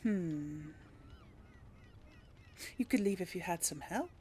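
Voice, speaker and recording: A woman's voice: fairly low pitch, animated, slow pace, mellow, breathy edge. A woman doing casual game commentary and role-playing dialogue choices, musing aloud with little hums. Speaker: gamer narrating a role play series.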